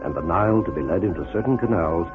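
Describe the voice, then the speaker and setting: A man's voice: deep voice, expressive, fast. A man reading scripted narration for a radio documentary. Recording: narrow-band old radio recording. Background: music.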